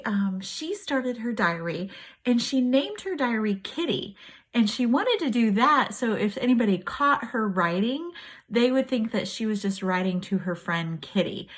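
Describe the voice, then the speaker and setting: A woman reading a diary aloud to students as a teacher, with expressive, emphatic storytelling phrasing. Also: a little high. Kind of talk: animated read-aloud of a diary book.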